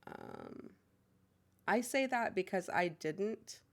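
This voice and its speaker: A woman's voice: medium pitch, wildly swooping pitch, takes it slowly, creaky. A woman with a nasal, cold-stuffed voice, hosting a tabletop game livestream as game master and chatting casually with lots of swearing and laughs.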